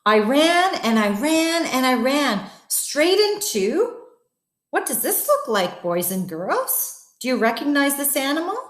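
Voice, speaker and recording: A woman with a fairly high voice reads a children's bedtime story at an unhurried pace. She speaks expressively and directly to young listeners. The room sounds noticeably echoey. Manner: addresses children, expressive, asks wondering questions. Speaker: guest bedtime story reader. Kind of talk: read-aloud storytelling for children.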